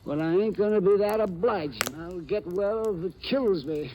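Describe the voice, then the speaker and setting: An actor playing a frail, stubborn old American gold prospector in a 1950s radio western, with a folksy, halting delivery. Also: male voice, high-pitched, expressive, warm tone. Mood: defiant. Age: older. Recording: noisy vintage radio recording.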